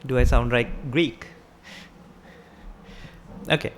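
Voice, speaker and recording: A woman's voice: a bass voice, fairly even intonation, takes it slowly, slight background noise. A woman with a Sri Lankan accent giving a Buddhist sermon, explaining step by step and asking her listeners rhetorical questions. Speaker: Buddhist teacher.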